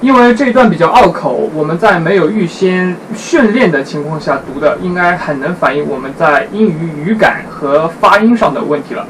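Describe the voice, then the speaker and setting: A man in his twenties from China with a Chinese accent, hosting a casual talk to camera in careful, halting speech. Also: on the high side, a bit bright, a little hiss or hum, low-quality recording. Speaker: host. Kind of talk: casual talk to camera about learning English.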